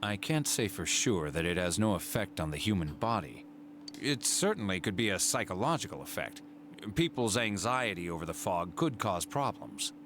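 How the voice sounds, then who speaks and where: A serious doctor in a video game, with a low voice and careful, measured phrasing. A clear hum of noise sits behind him. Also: male voice, very animated. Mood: cautious.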